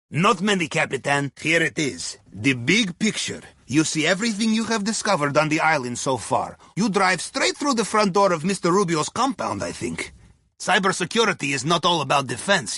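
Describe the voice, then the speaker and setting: An actor playing a wry, courteous Russian submarine pilot, advising on missions in a video game with a Russian accent and clipped grammar. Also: male voice, sits high, bright, forceful tone, breathy edge, dry recording, studio quality, full character voice. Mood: wry, instructive. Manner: wry, deferential, clipped grammar with dropped articles. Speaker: mission handler on comms. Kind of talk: scripted video game dialogue.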